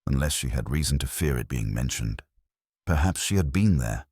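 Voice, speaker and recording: Very deep-voiced male mystery narrator. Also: expressive, moderate pace, darkish tone, husky, dry recording, clean studio sound. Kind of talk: scripted audio mystery narration.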